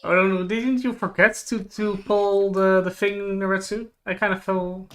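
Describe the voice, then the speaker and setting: A man casually recapping anime as a livestream co-host, speaking haltingly and often repeating his words. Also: high voice, little pitch movement.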